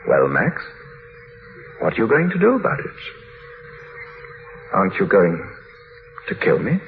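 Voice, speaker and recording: An actor in an old radio drama voicing a cruel, mocking wife in a taunting, sardonic way, on a muffled vintage radio recording. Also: male voice, on the low side, huge pitch swings, measured pace, background noise, exaggerated voice. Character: mocking, cruel wife quoted by her husband.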